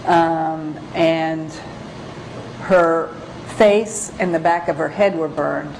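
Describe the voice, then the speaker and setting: Woman, low voice, presenting as a photographer-lecturer; hesitant, pause-filled, informal delivery over noticeable background noise. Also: fairly even intonation, a little mellow, rough recording. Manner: halting, hesitant, pausing often. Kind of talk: informal slide lecture with read-out excerpts.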